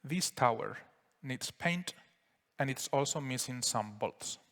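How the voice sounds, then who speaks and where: A man with a Spanish accent giving a relaxed live software demo at a conference, explaining steps with frequent fillers. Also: medium pitch, takes it slowly, vocal fry. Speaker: software presenter. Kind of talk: live product demo talk. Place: conference hall. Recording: reverberant conference hall.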